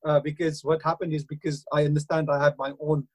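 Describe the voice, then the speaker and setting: A middle-aged man with an Indian accent, speaking earnestly and haltingly in a radio interview, with frequent hesitations. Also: on the high side, level tone, a little mellow, breathy edge. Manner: earnest, hesitant, many uh fillers. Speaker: councillor and university lecturer.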